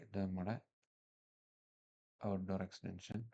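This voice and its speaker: A Malayali man presents a hands-on tutorial in a fairly low voice. His intonation stays very flat. He sounds matter-of-fact and unscripted as he moves from one step to the next. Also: unhurried, vocal fry, husky, dull audio. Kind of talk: hands-on technical walkthrough, unscripted.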